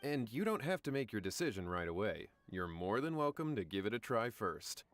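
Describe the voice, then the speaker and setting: An actor playing a courteous event organizer in a video game, speaking in a polite, inviting way. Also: male voice, on the low side, big pitch swings, fairly quick, a bit bright, no echo. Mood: polite, persuasive. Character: courteous male event organizer welcoming newcomers.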